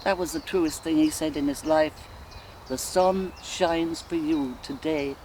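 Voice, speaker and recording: An actress playing a sensual Irish woman lost in rapturous memory, in an expressive, musing theatrical soliloquy with an Irish accent. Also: low voice. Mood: tender reminiscence. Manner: musing, expressive, slow and deliberate with sighs. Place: outdoors in countryside woodland. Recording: faint outdoor ambient noise. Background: faint birdsong and nature ambience.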